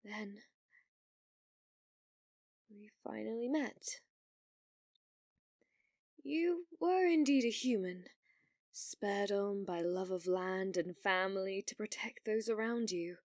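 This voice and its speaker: A woman's voice: mid-range voice, slow, long pauses, darkish tone, dry recording, clean studio sound. A woman playing a delusional, obsessive young woman who believes she is a reincarnated demon lord, speaking in grand, archaic phrases in an ASMR roleplay. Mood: wistful, smitten. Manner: grandiose, archaic, theatrical phrasing with flustered stammers.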